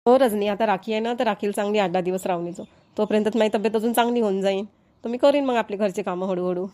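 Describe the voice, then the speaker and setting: A medium-pitched, fast-speaking elderly village mother-in-law, acted by a woman in a homemade cartoon comedy. She is kindly and grateful, with a Varhadi Marathi accent. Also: warm tone, close to an everyday voice. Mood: fond, grateful. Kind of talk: amateur cartoon comedy voice acting.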